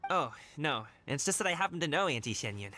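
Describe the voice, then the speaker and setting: Upbeat, animated young guard. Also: male voice, on the high side, fast. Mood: friendly, concerned. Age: young adult. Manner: breezy, eager, casual. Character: upbeat, friendly young male delivery guard. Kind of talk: animated video game dub acting. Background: background music.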